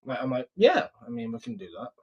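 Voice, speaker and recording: A man's voice: high-pitched, very animated, fairly quick, vocal fry, darkish tone, husky, highs cut off. A British man in casual, slangy conversation, dropping in "like" and "mate" as he talks through sales technique. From United Kingdom. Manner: informal, slangy, says "like" and "mate". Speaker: sales closer reviewing his old call. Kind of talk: casual commentary and sales talk.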